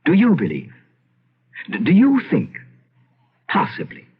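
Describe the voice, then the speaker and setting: A blustery, swooping gentleman landowner. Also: on the high side, wildly swooping pitch, measured pace, slightly rough, exaggerated voice. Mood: uneasy doubt. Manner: pompous, emphatic, self-interrupting. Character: blustery Irish gentleman landowner, imperious, skeptical yet uneasy. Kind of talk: old-time radio drama acting. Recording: muffled, hissy old radio recording.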